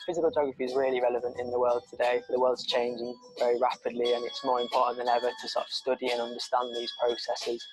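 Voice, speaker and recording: A young male student speaks fast and matter-of-fact, with almost no rise or fall in his voice. Music plays clearly in the background. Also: mid-range voice, monotone, low-quality recording. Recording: promo video with music underneath.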